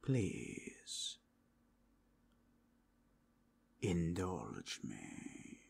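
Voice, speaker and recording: A learned, inquisitive cardinal in a fantasy audio drama. His mixed foreign accent wanders, and his breathy voice swoops widely in pitch. He speaks slowly and formally, probing politely with theatrical pauses. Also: huge pitch swings, darkish tone, full character voice. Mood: intrigued, measured. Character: courteous, inquisitive male cardinal of an inquisition, learned and self-assured. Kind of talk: fantasy audio roleplay acting.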